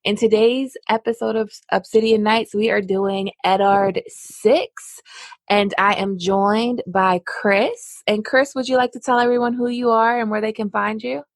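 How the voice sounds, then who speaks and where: A woman's voice: mid-range voice, steady tone, unhurried, no echo, clean studio sound. A woman hosting a podcast, chatting casually with many "like" fillers. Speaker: podcast host.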